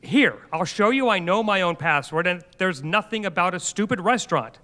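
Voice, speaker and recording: A man's voice: sits high, lively intonation, moderate pace, sharp tone. A man acting an earnest, exasperated husband in a live radio play, speaking in an insistent, protesting way. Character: Jeff, exasperated, earnest husband baffled by his online account. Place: on stage in a senior center hall before an audience. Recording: reverberant hall, live stage recording.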